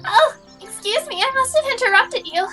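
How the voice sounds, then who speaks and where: A woman's voice: animated, normal speed. A woman voicing a cheerful, scatterbrained teenage girl who rambles apologetically in a visual novel read aloud. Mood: bubbly, flustered. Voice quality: very high, girlish. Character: Miku, bubbly, chatty, scatterbrained teenage girl. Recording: music behind.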